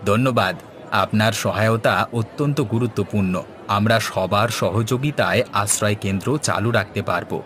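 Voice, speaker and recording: A man's voice: fairly low pitch, normal speed, fairly bright tone, a faint crowd, slight background noise, natural voice. A man playing an earnest village leader in a cartoon, speaking in formal, rallying speeches. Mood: earnest, resolute. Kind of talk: scripted cartoon dialogue.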